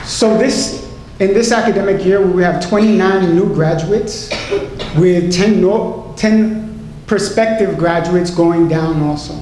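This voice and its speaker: A woman doctor giving an impassioned political tribute speech with emphatic, deliberate phrasing and repetition. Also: lowish voice, mellow. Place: large indoor hall, tribute event. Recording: very echoey hall, faint noise.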